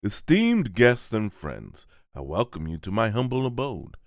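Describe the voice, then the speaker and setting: A man voicing a courteous young nobleman in an amateur manga voice-over, with measured, formal phrasing. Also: on the low side, huge pitch swings, no echo, studio quality, exaggerated voice. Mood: gracious.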